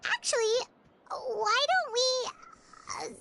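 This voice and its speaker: An actress voicing a tiny, chatty, childlike fairy companion in a video game, with a squeaky, bubbly delivery. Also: female voice, animated, slow pace, vocal fry, heavily acted. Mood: concerned, chatty. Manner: speaks of herself in third person, bubbly. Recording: loud music behind.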